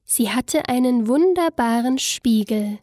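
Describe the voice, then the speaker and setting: A woman narrating a scripted fairy tale in an expressive, storybook manner. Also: on the high side, no echo, studio quality. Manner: expressive, unhurried storybook telling.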